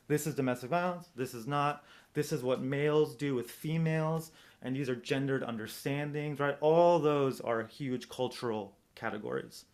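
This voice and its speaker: Reflective American man giving an informal academic talk. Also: mid-range voice. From United States, Southern California. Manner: reflective, hedging, thinking aloud with rhetorical questions. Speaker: presenter, domestic violence practitioner. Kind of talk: academic presentation, informal and explanatory.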